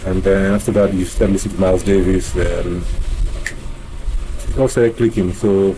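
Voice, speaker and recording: A man's voice: low voice, fairly even intonation, normal speed, dark tone, slight background noise, rough recording. A man with a Nigerian accent, answering interview questions conversationally as a musician. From Nigeria, grew up in Lagos. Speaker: musician and bandleader being interviewed.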